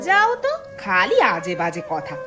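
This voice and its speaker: A woman's voice: lowish voice, wildly swooping pitch, moderate pace, over music. A woman voicing a middle-aged village mother in a cartoon, chiding and caring in a homely way. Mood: scolding. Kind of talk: cartoon dialogue acting.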